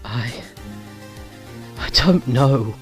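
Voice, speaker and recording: A man voices a reserved, stern accused man who speaks slowly and evenly in a mid-range voice. He sounds measured and cold, with faint music behind him. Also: mid-range voice, expressive. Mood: guarded. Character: stern, reserved male prosecutor on trial. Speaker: defendant. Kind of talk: fan audio drama acting.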